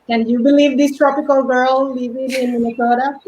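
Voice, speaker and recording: A woman from Colombia in her forties with a Colombian Spanish accent, talking haltingly with many fillers as an interview guest. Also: high-pitched, little pitch movement, darkish tone, a little hiss or hum. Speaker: guest, fashion stylist. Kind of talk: casual interview chat. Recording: dull lo-fi audio.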